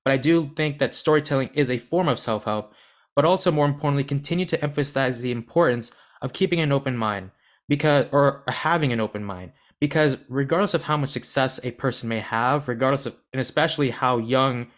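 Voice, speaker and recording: A young American man hosting a podcast, earnest and rambling, often restarting his sentences. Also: mid-range voice. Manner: earnest, rambling, self-deprecating. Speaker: podcast host. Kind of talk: podcast interview.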